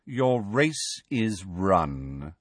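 A man's voice: low voice, big pitch swings, very slow, dry recording, clean studio sound, exaggerated voice. A man voicing a cold, arrogant villain who drawls and sneers. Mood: cold contempt.

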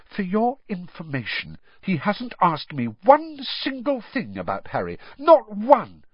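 Hermione: a man voicing an indignant, shrill schoolgirl. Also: huge pitch swings, breathy edge, no echo, muffled recording, heavily acted. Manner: shrill, rapid and outraged. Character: indignant bookish teenage girl, quick and shrill.

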